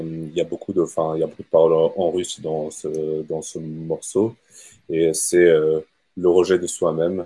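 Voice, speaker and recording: A man's voice: extremely low pitch, warm tone, husky. A man from Kazakhstan, a native Russian speaker, answering interview questions about his band's songs, thoughtful and hesitant with many fillers. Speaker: band musician.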